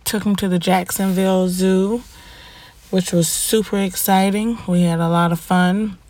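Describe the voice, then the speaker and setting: Stuffy, slow-talking woman host. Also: mid-range voice, steady tone, darkish tone, no room sound. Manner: frank, unhurried, with many ums and pauses. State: stuffy, congested from allergies. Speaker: podcast host. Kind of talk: casual solo podcast monologue.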